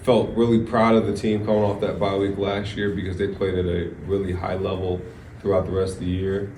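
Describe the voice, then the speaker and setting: A man's voice: sits low, flat delivery, fairly quick, mellow. A man, a football coach, answering press questions in a casual, rambling way, with many restarts and fillers. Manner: casual, rambling, full of "you know" and restarts. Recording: distant, echoey, noisy press room.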